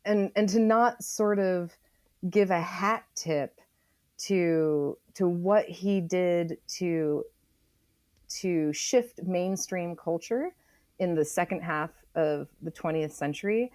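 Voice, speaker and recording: Lower-pitched woman, halting and thoughtful. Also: darkish tone, no echo. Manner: halting, repeats words as she forms thoughts. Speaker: guest author. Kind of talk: thoughtful podcast interview conversation.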